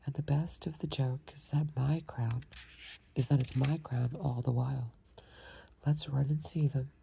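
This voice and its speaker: Woman doing a faint-hearted old storybook king, hushed whisper, dark mellow voice. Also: very deep voice, steady tone, a little slow, gravelly, a little hiss or hum, exaggerated voice. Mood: fretful. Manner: whispered, fretful. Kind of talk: ASMR storybook reading.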